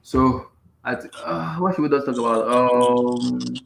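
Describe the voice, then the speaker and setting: A man's voice: medium pitch, unhurried, gravelly, echoey room, background noise. A man hosting a casual football podcast, mostly giving short agreeing replies and easygoing questions. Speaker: podcast host.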